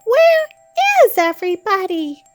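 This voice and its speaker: A woman's voice: very high-pitched, very animated, unhurried, a little mellow, faint music behind, pitched above the performer's own voice, exaggerated voice. A woman voicing a sweet, eager young girl witch in a children's storybook, with a singsong way of talking. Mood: puzzled.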